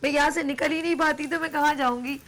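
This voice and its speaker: A woman's voice: high-pitched, fairly even intonation, quick pace, a bit bright. A woman with a Pakistani Urdu accent hosting a live morning TV show, chatting and teasing playfully, her throat a little sore. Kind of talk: casual live TV chat.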